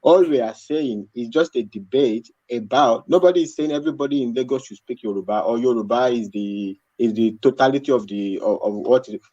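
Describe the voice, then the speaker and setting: A man's voice: mid-range voice, a little fast, hardly any pauses, warm tone, no echo. A man making his case on a live panel, insistent and repeating his points. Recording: dull lo-fi sound.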